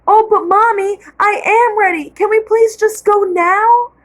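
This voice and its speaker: A woman reading aloud and voicing an excited little girl who begs her mother to go see roses. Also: very high-pitched, measured pace, voice pushed up. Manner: eager, pleading.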